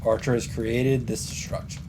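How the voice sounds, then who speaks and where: Let's player: male, medium pitch, dramatic deliberate story reading, noisy game ambience behind. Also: level tone. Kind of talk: dramatic read-aloud of visual novel narration. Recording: game sound and noise behind.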